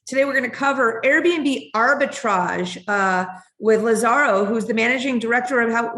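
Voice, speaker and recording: Bubbly lady podcast host, a bit high voice, echoey room. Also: a bit bright. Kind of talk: casual podcast hosting. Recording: roomy echo.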